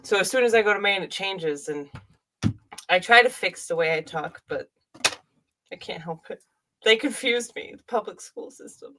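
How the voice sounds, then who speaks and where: A woman's voice: medium pitch, expressive, moderate pace, bright, forceful tone. A woman from Massachusetts with a mixed New England accent, chatting casually as a podcast guest and laughing. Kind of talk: casual chat.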